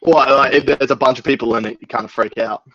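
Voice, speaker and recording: A man's voice: medium pitch, fairly even intonation, quick pace, bright, forceful tone, dry recording. A man chatting casually in a laid-back, slangy way. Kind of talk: casual chat. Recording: dull lo-fi, dry sound.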